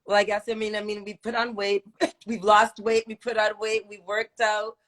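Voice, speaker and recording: A woman's voice: mid-range voice, little pitch movement, normal speed, a bit bright. A woman hosting a casual live-stream interview, encouraging and affirming, often repeating her phrases as she goes. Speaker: host, interviewer.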